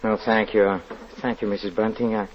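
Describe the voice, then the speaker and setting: An actor playing a timid, tired, polite lodger with a halting, soft-spoken manner in a 1940s radio drama. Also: male voice, fairly low pitch, flat delivery, lightly acted. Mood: hesitant, withdrawn. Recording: dull, hissy 1940s radio recording.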